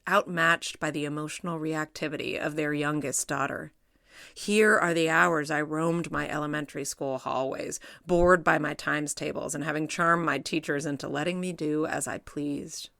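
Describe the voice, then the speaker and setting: Middle-aged woman, low creaky voice, dry close read, wry and reflective essay narration. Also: a bit bright, no echo. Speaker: essay reader, psychologist. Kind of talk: reading her own personal essay aloud.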